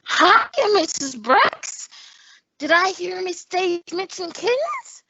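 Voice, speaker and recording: A woman's voice: very high-pitched, very animated, slow, vocal fry, background noise, full character voice. A woman playing an eager, mischievous teenage schoolboy in an old-time radio comedy. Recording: dull, muffled audio.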